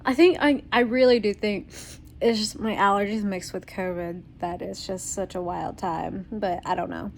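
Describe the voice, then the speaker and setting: A woman's voice: medium pitch, steady tone, normal speed, vocal fry, darkish tone, a little hiss or hum. A young woman recording a casual video diary at home, her voice tired and congested while she is sick, rambling with lots of ums and small laughs. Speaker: vlogger.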